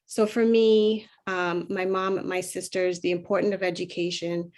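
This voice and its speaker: A woman from Trinidad and Tobago telling her personal story as a panelist, reflective and pausing often. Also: lowish voice, little pitch movement, darkish tone. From Trinidad and Tobago, moved to the United States at twelve. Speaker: panelist, youth mentoring program leader.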